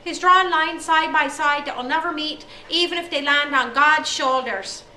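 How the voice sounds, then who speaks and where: A woman's voice: high-pitched, moderate pace, pushed and bright, a little hiss or hum, voice pushed up. A woman voicing a nervous, earnest young schoolboy answering a teacher. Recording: echoey room from far off.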